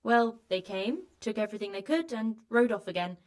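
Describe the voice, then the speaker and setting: A flustered peasant wife, voiced by a woman, speaking in a mid-pitched voice. She hedges and stumbles while dodging a questioner, in a medieval video game cutscene. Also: animated, normal speed, lightly acted. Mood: evasive. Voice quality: evasive, flustered.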